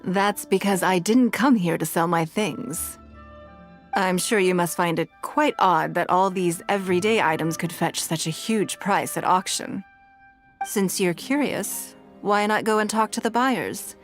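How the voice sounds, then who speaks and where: A woman's voice: on the low side, measured pace, soft background music, close to an everyday voice. An actress playing a poised, wealthy, shrewd woman in a video game, with a composed, knowing and formal manner. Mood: smooth, amused.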